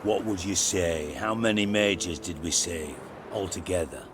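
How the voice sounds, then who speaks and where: A man's voice: low voice, unhurried, noisy background. A man playing a cynical, crude-tongued older spymaster in a video game cutscene, blunt and theatrical in delivery. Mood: earnest, scheming.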